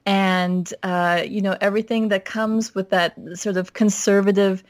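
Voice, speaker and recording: A woman from Minnesota as a podcast guest, telling her family story in a reflective, steady way with frequent "you know" fillers. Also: medium pitch, a little slow, no room sound, clean studio sound. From Minnesota, United States. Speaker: pastor's wife and guest. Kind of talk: podcast interview, personal story.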